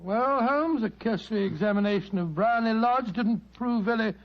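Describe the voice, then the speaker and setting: Guy playing a keen flustered english gentleman sidekick, high voice, polite, muffled old radio play. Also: normal speed, lightly acted. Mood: eager, curious. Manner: polite, hesitant, eager. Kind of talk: radio drama acting.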